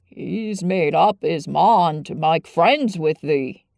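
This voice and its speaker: A woman voicing a sour, blunt old Yorkshire gardener with slow, grumbling dialect speech. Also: low-pitched, vocal fry, a little mellow, dry recording, clean studio sound, full character voice. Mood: amused.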